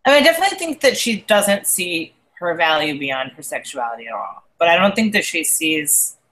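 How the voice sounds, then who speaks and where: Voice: medium pitch, sing-song, fairly quick, bright, forceful tone, rough recording. A podcast co-host who sounds a little congested, chatting casually about a TV show, hesitant and often trailing off.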